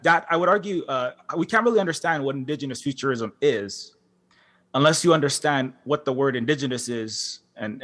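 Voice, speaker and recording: Guy on a conference panel, fired up, keeps restarting sentences, mid pitch voice. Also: fairly bright tone. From Hawaii. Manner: impassioned, halting with many restarts and fillers. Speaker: panelist, game developer. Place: large concert hall.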